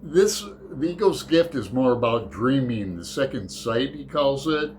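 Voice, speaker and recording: Slow, rambling middle-aged man. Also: mid-range voice, lively intonation, a little mellow, a little hiss or hum. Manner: rambling, digressive, halting with fillers and self-corrections. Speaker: book channel host. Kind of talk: casual unscripted book vlog.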